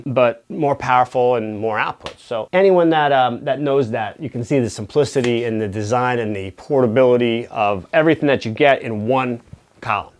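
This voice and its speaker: A man giving a casual product review, explaining things conversationally and often checking in with the listener. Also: medium pitch.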